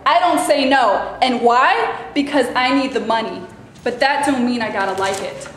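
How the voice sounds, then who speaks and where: A woman's voice: a little high, moderate pace, lightly acted. Woman playing a brassy, sarcastic bit-part actress. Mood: indignant. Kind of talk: staged play reading. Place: theater stage before a live audience. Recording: very echoey stage, faint room noise.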